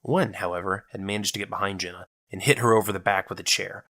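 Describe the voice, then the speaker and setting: Forceful, bright-voiced dramatic story narrator. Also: low voice, steady tone, fast.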